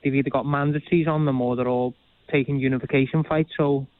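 A man's voice: medium pitch, fairly even intonation, fast talker, no room sound. A young man with a Liverpool accent, a boxer talking casually as a guest, chatty and plain-spoken with frequent laughs, heard over a phone line. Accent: Liverpool (Scouse). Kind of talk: casual interview chat. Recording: tinny dry phone line.

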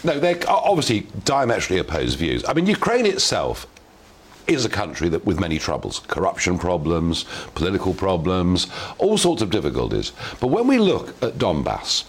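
A man presents a talk show in a low voice whose pitch rises and falls a great deal. He states his opinions forcefully and then steers the questions for his guest. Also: huge pitch swings, moderate pace, husky. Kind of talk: opinionated broadcast talk and interviewing.

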